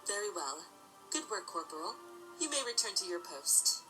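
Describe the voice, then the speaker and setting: A woman playing a composed, regal mare ruler in an audio drama, speaking formally and in measured phrases with courteous authority. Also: medium pitch, very animated, sharp tone, faint music behind, poor audio. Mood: brisk, formal.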